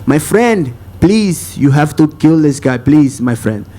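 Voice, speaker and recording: A man imitating an excited foreign man who pleads in broken English. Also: on the high side, slow pace, voice pushed up. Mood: pleading. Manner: pleading, repetitive.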